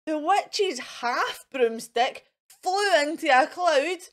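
A woman reading a children's storybook aloud in a theatrical, expressive, drawn-out manner. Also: sits high.

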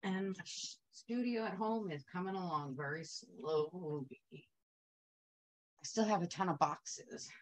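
A woman's voice: fairly low pitch, slow, no room sound, low-quality recording. A woman teaching a quilting technique in a chatty, upbeat way, wandering into asides while she sews. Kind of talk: casual sewing tutorial.

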